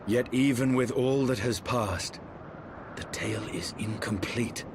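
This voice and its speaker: An actor playing a brooding, noble knight in a video game, speaking gravely and formally with intensity. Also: male voice, mid-range voice, measured pace, noisy background, close to an everyday voice. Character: brooding, noble male dragon-slaying knight in his prime.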